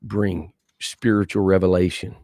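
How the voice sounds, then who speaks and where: A man's voice: deep voice, flat delivery, measured pace, mellow, no echo, studio quality. A man hosting a podcast, upbeat and friendly in casual banter. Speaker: podcast host.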